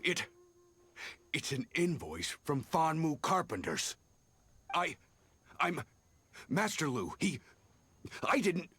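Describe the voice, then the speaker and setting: Shifty flustered game merchant, slow n stammery, kinda high for a man. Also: very animated, pushed and bright, breathy edge, exaggerated voice. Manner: stammering, sighing. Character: flustered guilty merchant caught evading taxes. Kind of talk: video game voice acting.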